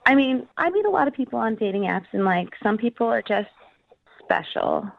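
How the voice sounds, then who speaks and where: A woman's voice: a little high, big pitch swings, brisk, creaky. A woman answering a phone call in a hesitant, guarded way. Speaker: person called by radio show. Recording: tinny narrow phone line.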